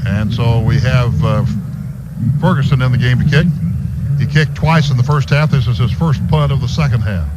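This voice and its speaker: An American male radio announcer calls a football game live, play by play. His voice sits in a middle register. The broadcast carries clear background noise from a stadium booth. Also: mid-range voice, normal speed, muffled recording.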